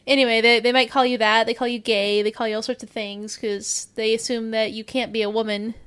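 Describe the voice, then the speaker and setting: A woman's voice: a little high, little pitch movement, brisk, a bit bright, clear, resonant tone. A woman who works as a game effects artist, chatting casually and rambling with many restarts. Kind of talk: casual podcast chat.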